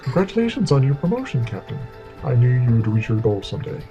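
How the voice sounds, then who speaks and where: A man's voice: mid-range voice, big pitch swings, fast, warm tone, highs cut off, soft background music, lightly acted. A man playing a dignified senior Vulcan captain in an audio drama, speaking formally and stiffly. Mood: courteous. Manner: formal, stilted. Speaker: voice actor.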